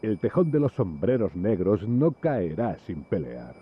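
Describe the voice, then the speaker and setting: A man voicing a wary, gruff soldier in a video game, with a husky voice and slightly muffled sound. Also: on the low side, big pitch swings, a little slow, full character voice. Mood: wary, resolute.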